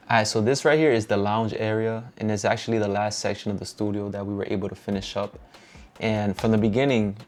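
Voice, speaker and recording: A young man with a Dominican accent giving a relaxed, upbeat tour of his home music studio, encouraging and slangy. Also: fairly low pitch. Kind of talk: casual vlog walkthrough.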